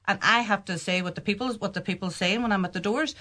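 Earnest bright-voiced Donegal woman candidate. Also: mid-range voice, fast. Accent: Irish, Donegal. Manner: earnest, emphatic, repeats phrases when answering. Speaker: local election candidate. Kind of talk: campaign pitch and panel discussion.

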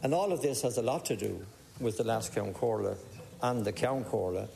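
A man with an Irish accent and a creaky voice making a parliamentary speech. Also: lowish voice, animated, a little fast, warm tone. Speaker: politician. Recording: roomy chamber with background noise.

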